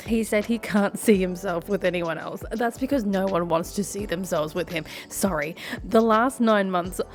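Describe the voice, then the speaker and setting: A young woman with a croaky voice from a cough, reading online posts aloud and reacting with sassy asides. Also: medium pitch. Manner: sassy, laughs often, mutters asides. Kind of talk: casual reaction commentary.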